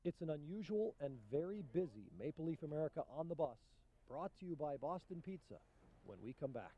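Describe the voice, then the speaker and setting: A man giving scripted narration for a hockey documentary in a measured, matter-of-fact way. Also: a little high, very animated, dull audio, very noisy.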